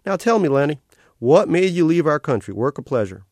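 A man voicing a cheerful electronic male otter, a carnival-bright official with a sing-song, salesman's cheer. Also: a little high, sing-song, fairly quick, dry recording, pitched above the performer's own voice. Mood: chirpy. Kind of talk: audiobook character voice.

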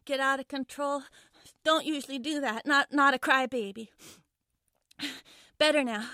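A scared, stubborn little schoolgirl, played by a woman, in a dramatic monologue. Her voice is high and sharp, recorded close and dry. She stumbles and repeats herself, covering fear with crude bravado. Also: unhurried, no echo. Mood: hushed, resolute. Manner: stammering, repeating herself, foul-mouthed bravado. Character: frightened, defiant young schoolgirl trapped in a car trunk. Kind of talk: dramatic first-person monologue.

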